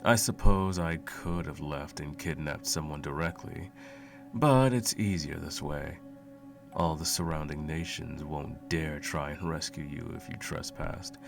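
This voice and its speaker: A man playing a sadistic sorcerer in an ASMR roleplay, cooing mockingly and condescendingly at a captive pet in a low gravelly murmur. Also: heavily acted. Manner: teasing, cooing, condescending, theatrical.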